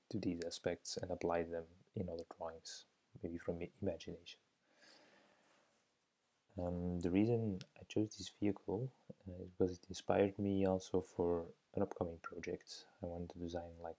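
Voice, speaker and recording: A man's voice: deep voice, dark tone. A young Belgian man who speaks Dutch as his first language, giving hesitant, thoughtful voice-over commentary on his drawing, with many fillers. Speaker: drawing teacher.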